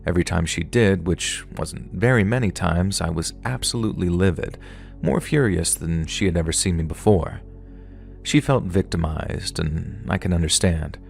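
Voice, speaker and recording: A man narrating true horror stories submitted by viewers, in a measured, unhurried way. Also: sits low, gravelly, a little mellow, soft background music. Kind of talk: scripted horror story narration.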